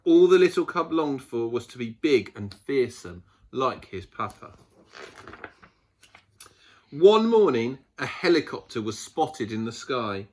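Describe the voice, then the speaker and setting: A man reading a picture book aloud to children, expressively, with dramatic pauses and emphasis. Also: medium pitch, sing-song, darkish tone. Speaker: children's storyteller.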